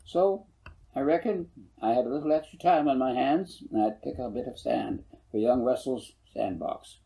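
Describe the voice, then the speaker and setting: Guy doing a rambling homespun older farmer from a storybook, gravelly, mellow, amiable. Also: medium pitch, moderate pace, close to an everyday voice. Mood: folksy, rambling. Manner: rambling, homespun, self-correcting. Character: folksy, rambling older male farmer, good-humored. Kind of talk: audiobook character voice.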